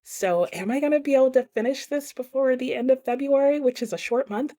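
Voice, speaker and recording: A woman's voice: high-pitched, fairly quick, dark tone. A woman giving a casual, conversational book review as a vlogger, talking informally with some hedging.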